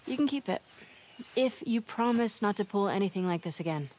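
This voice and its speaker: An actress playing a guarded, protective young American daughter, wry and practical, in a naturalistic video game style. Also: female voice, medium pitch, animated, brisk, a little mellow, a little hiss or hum, close to an everyday voice. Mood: wry, chiding. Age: young adult.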